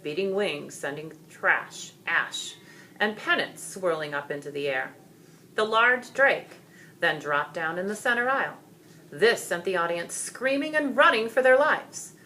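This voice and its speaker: A woman reading a children's story aloud in dramatic, expressive, deliberate narration. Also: on the low side, a little hiss or hum.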